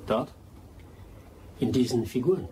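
Radio drama male lead actor: embittered adventurer, mid-pitched, animated delivery, accusatory. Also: measured pace, noisy background, close to an everyday voice. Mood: cold suspicion. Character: bitter, vengeful adventurer back from the jungle. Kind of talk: German radio drama acting.

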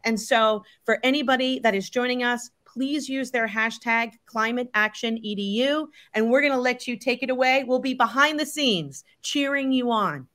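A woman's voice: on the high side, moderate pace, few pauses, fairly bright tone. A woman hosting a live webcast over a video call, welcoming guests. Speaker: host. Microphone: computer video call.

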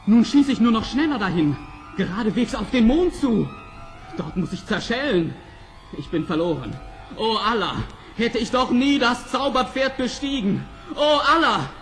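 An actor playing an eager, pious young prince, theatrical and earnest, in a German fairy-tale radio play. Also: male voice, very high-pitched, a bit bright, exaggerated voice.

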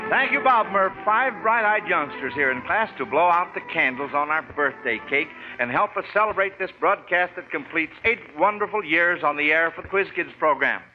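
A man's voice: a little high, expressive, normal speed, hardly any pauses, music in the background. An American man hosting a 1940s radio quiz show with folksy schoolteacher patter. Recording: dull, noisy 1940s radio recording.

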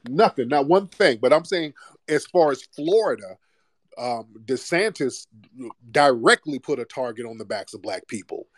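A man's voice: mid-range voice, animated, normal speed, no echo, clean studio sound. A man hosting a live audio room, talking bluntly and mocking callers over a phone mic.

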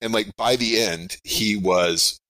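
A middle-aged man with a big projecting voice, talking in a rambling, animated way as a podcast interview guest. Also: on the low side, slow, no room sound, rough recording. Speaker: game designer guest.

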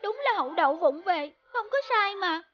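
A woman's voice: very high-pitched, lively intonation, normal speed, highs cut off, a little hiss or hum. A woman voicing a pouty, clumsy young girl, a sulky little sister in a cartoon. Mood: dejected. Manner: pouty, protesting. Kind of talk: cartoon voice acting. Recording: music bed under the voice.

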